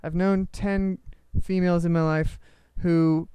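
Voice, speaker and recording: This somewhat high-voiced man co-hosts a joking chat and plays a pushy, silly interviewer. His voice is smooth and clear. The recording is dry, with no room sound. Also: level tone, measured pace, mellow, no echo. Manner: silly, probing, mock-interviewer. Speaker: co-host. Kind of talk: casual comedic chat.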